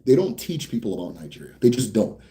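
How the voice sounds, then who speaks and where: A young American man hosting a casual Q&A for viewers. He talks bluntly, swears freely, rambles a bit, then slows down to explain. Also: lowish voice, sing-song, warm tone. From United States. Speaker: host answering viewer questions. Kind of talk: casual livestream Q&A.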